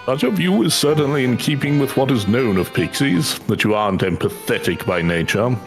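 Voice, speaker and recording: A man voicing a formal, thoughtful, analytical character in a video game, with a measured and bookish delivery. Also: medium pitch, animated, lightly acted. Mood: probing. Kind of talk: acted reading of video game dialogue. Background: game music.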